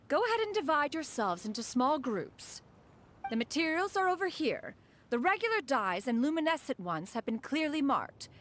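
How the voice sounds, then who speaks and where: A woman voicing a proud, ageless bird immortal in a game cutscene, formal and haughty yet caring. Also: high voice. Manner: formal, stately, refers to herself as one. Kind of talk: video game cutscene acting. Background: music.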